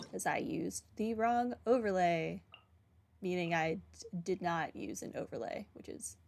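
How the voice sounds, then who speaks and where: A woman's voice: mid-range voice, gravelly, a little mellow. A woman streamer giving casual, rambling game commentary in a dry, wry manner. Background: game music.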